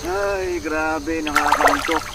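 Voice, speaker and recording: A man's voice: a little high, huge pitch swings, slow, vocal fry, heavy background noise, exaggerated voice. An actor playing a tired, hardworking fisherman husband who groans and complains in a radio drama. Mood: weary, grumbling. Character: weary hardworking fisherman husband, grumbling and drained. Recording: loud music bed under the voice.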